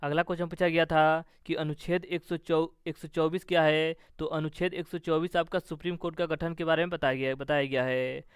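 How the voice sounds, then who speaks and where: Clear, even-toned man teaching. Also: fairly high pitch, fairly quick, few pauses, no echo, clean studio sound. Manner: methodical, repetitive question-then-answer delivery. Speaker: exam coaching teacher. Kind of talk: instructional question-and-answer exam review.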